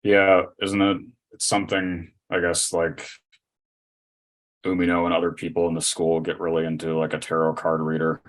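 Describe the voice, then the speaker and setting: A man's voice: deep voice, monotone, moderate pace. A man co-hosting a podcast in casual chat, laid-back and noncommittal. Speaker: podcast co-host.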